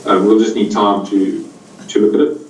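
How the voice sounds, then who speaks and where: A man's voice: on the low side, flat delivery, normal speed, distant mic, a little hiss or hum. Kiwi guy auditor calling in, hesitant, lots of ums, echoey room sound from afar. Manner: hesitant, many fillers and restarts. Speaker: auditor on a remote call. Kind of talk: meeting discussion over a call.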